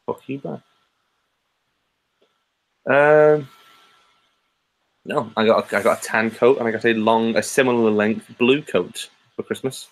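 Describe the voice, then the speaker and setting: A man's voice: mid-range voice, steady tone, unhurried, pauses often, low-quality recording. A man chatting casually, joking bluntly and swearing freely. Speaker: co-host. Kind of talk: casual chat over a call.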